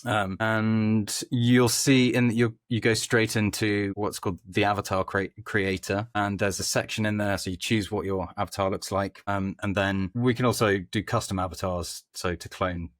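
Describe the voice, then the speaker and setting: A man speaking as a podcast guest, explaining things informally in conversational answers with hesitant pauses. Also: lowish voice, little pitch movement, no room sound, clean studio sound. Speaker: podcast guest, company head of growth.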